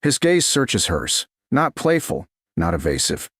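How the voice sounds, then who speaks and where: A male audiobook narrator reading dramatic romance. His voice is husky and fairly low, with very expressive pitch movement and short, deliberate phrasing. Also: dry recording, clean studio sound.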